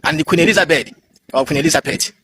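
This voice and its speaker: A man's voice: a little high, very fast, background noise. A Nigerian man whose first language is Igbo, vlogging outdoors on a smartphone in a rambling, defiant way. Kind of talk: phone vlog.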